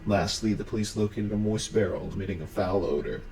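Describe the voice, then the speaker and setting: A man's voice: sits low, fairly quick, creaky, a little mellow, slight background noise. A man narrating an explainer about a horror series in a scripted recap style. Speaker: video narrator.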